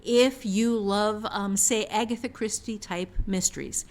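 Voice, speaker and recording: A woman's voice: medium pitch, steady tone, unhurried. Emphatic woman explaining things casually. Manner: emphatic, repeats phrases for stress. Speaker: author and writing teacher. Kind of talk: casual explanatory podcast talk.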